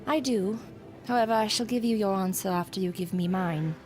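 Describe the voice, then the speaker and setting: A woman's voice: medium pitch, brisk, natural voice. Eccentric gothic lady scientist acted by a woman, imperious, knowing, theatrical, music underneath. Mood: haughty, probing. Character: eccentric, imperious female gothic doctor, secretive researcher. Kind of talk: gothic audio drama acting.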